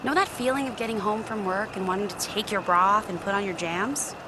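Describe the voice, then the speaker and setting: A woman voicing a jaded genie bartender in a video game. She sounds guarded and sarcastic, with a blunt, casual delivery at a middle pitch, over clear background noise. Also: brisk, natural voice. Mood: guarded, wry. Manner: blunt, dry, sassy. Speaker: video game romance character. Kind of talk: scripted game dialogue, casual.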